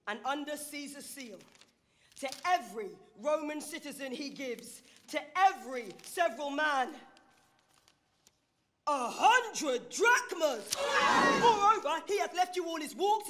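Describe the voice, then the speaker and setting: Roman orator, high voice, unhurried declamatory Shakespearean delivery, impassioned and cunningly persuasive. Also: female voice, a bit bright, a little hiss or hum. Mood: rousing, fervent. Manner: declamatory, rhetorical, weighted pauses. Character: Roman orator, impassioned, grieving, slyly rousing a crowd. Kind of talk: theatrical Shakespearean stage oration.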